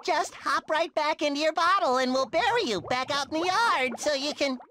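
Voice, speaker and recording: A man's voice: very high voice, lively intonation, moderate pace, sharp tone, slight background noise, full character voice. A man voicing an eager, childlike, cheerful sea sponge for a cartoon game, earnest, exclamatory and over-polite. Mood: nervous, polite.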